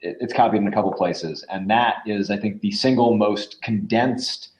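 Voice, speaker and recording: A man giving casual advice as a podcast guest, speaking informally and somewhat haltingly. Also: on the low side, darkish tone. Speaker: podcast guest, audio drama creator. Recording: roomy, dull lo-fi audio.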